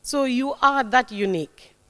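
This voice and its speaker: Fairly high-voiced woman in her forties. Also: a little slow. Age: 40s. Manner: warm, emphatic, repeats key words, frequent "right?". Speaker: guest speaker. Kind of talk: motivational talk to young audience. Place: lecture hall. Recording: long hall reverb.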